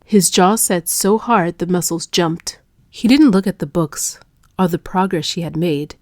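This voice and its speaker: A female audiobook narrator reads dark romance in a fairly low voice. Her delivery is measured, hushed and dramatic, recorded very dry with no room around it. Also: dry recording.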